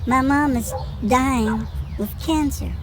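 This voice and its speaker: A woman's voice: sits high, slow pace. A woman playing a distressed young woman, urgent and pleading, in an amateur audio drama. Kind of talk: amateur Bible-themed audio drama acting.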